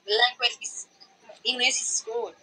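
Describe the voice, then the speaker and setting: A young Thai woman, an MBA student, answering questions on an online video call in hesitant, broken English with many pauses. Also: fairly high pitch, level tone, bright, forceful tone, husky, rough recording. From Thailand. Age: young adult. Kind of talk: casual video chat in halting English. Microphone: online video chat.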